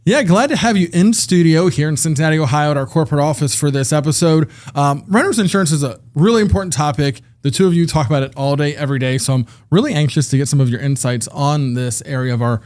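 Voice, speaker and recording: A man's voice: fairly high pitch, fast talker, hardly any pauses, darkish tone. A man hosting a podcast interview in a studio, talking in a friendly, conversational way with some fillers. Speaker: podcast host. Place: recording studio.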